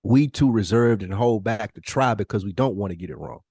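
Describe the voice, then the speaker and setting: A man with a slightly low voice talks casually as a podcast co-host. He is blunt and slangy, swears freely and repeats himself to drive points home, in a very dry recording. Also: brisk, a little mellow, slightly rough, dry recording. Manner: blunt, profane, slangy, emphatic repetition.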